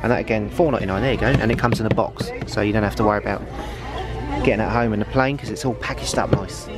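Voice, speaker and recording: A man's voice: fairly low pitch, fast talker. A man hosting a casual shopping vlog, narrating from behind a handheld camera as he browses. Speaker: vlog host. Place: busy retail store indoors. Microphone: handheld camera, operator behind it. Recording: loud store music and crowd noise. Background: loud music and shopper crowd.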